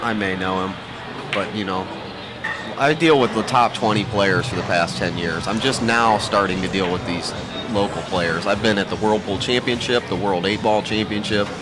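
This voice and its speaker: A man's voice: lowish voice, moderate pace, music in the background. An American man from Ohio giving casual live sports commentary in a chatty, folksy, slangy way. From Ohio, USA. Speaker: pool match commentator.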